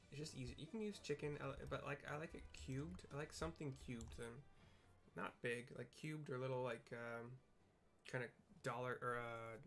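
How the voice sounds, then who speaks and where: A man's voice: mid-range voice, fairly bright tone. A man rambling casually as he narrates his gameplay, drifting into side topics. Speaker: gameplay streamer narrating a game.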